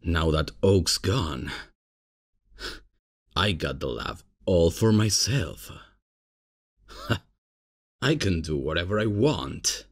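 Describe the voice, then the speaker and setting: A man playing a cocky, casual, unprofessional substitute professor in an ASMR comedy roleplay, with a husky, gravelly voice and a hesitant, improvising way of talking. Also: low voice, expressive, slow pace, pauses often, exaggerated voice. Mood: smug, relaxed. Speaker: roleplay performer.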